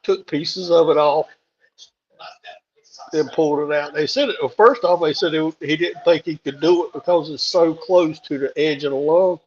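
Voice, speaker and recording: Hoarse guy talking easy and laid-back, a bit high, muffled lo-fi call sound. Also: normal speed, a little mellow. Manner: laid-back, plain-spoken, laughs things off. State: hoarse after a throat tube. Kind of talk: casual chat on a live stream. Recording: dull lo-fi call audio.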